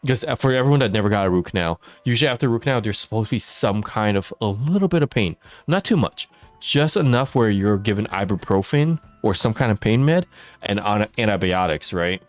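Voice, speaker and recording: A chatty man streams a game and tells stories from his week, with a lowish voice that swings up and down a lot. He laughs often and answers viewers as they write in. Soft game music plays under him. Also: expressive, brisk. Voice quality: naturally loud voice held down for the mic. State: mouth watering after dental work. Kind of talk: casual live-stream storytelling while gaming.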